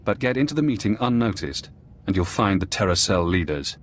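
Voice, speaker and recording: An actor voicing a calm, clinical imprisoned ex-operative in a video game, speaking in a measured, precise and dryly matter-of-fact way. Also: male voice, low voice. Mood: measured, resigned.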